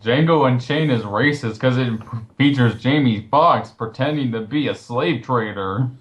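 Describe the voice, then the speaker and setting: A man's voice: medium pitch, measured pace, muffled recording. A man taking part in a casual group chat over a voice call.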